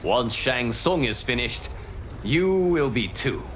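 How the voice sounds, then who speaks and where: Slow, menacing fighter. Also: male voice, mid-range voice, big pitch swings, noisy background. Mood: scornful threat. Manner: slow, threatening, scornful. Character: menacing, arrogant male fighter. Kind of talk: dramatic video game acting.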